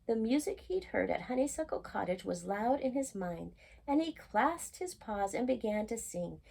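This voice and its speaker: Husky woman reading a children's story. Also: a little high, normal speed. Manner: animated, acts out characters' lines. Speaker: storyteller reading a picture book aloud. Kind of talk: expressive children's storytime read-aloud.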